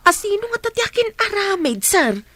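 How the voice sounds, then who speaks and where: A woman's voice: extremely high pitch, very animated, normal speed, sharp tone, full character voice. An actress playing a loyal, concerned female employee in a radio drama, speaking earnestly and respectfully. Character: concerned older-sister figure, loyal employee of the boss. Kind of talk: Ilocano radio drama acting.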